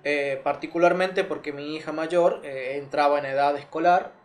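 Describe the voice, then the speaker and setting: A man's voice: fairly high pitch, steady tone, moderate pace. A man, earnestly recounting his own story as an interview guest, with many fillers and restarts. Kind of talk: personal testimony in an interview. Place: living room with curtains, seated in armchair. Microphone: lapel mic.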